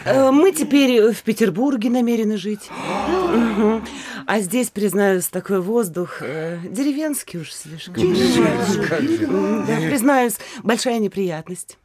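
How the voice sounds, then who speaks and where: A woman's voice: a little high, animated, slow pace. An actress playing a haughty middle-aged mayor's wife in an audio play, affected and condescending in her speech. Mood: affected, boastful. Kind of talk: theatrical audio play acting. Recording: crowd murmuring behind the voice.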